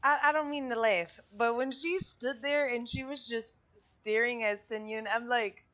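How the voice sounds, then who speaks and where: A woman's voice: on the high side, fairly even intonation, unhurried, a bit bright. A woman giving casual, slangy and giggly commentary as she streams a game. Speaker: streamer reacting to a game story quest.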